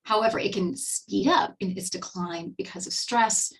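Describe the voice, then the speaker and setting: A woman's voice: mid-range voice, normal speed, slightly rough, recorded from a distance. A woman in her fifties with a Texan accent, hosting a casual webinar on skincare in a chatty, upbeat way with many fillers and restarts. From Texas, USA. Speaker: webinar host and skincare presenter.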